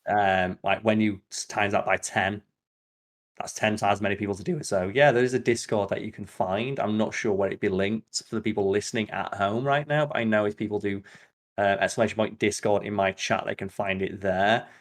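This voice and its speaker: Low-pitched man chatting, sweary and opinionated. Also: fairly even intonation, a little fast. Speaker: podcast host. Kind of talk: casual podcast chat.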